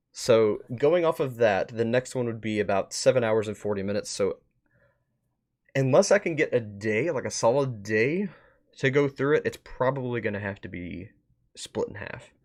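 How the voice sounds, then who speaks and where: A man hosting a livestream in a casual chatty style, rambling a bit as he thinks out loud. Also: medium pitch. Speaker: streamer and host. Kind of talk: casual livestream wrap-up chat.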